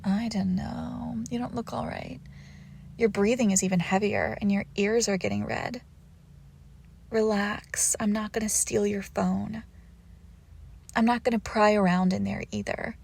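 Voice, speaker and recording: Haughty young female vampire, played by a woman, intimate roleplay audio: coy teasing, breathy near-whispers, slow delivery, faint background noise. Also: medium pitch, a little mellow. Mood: playful, smug.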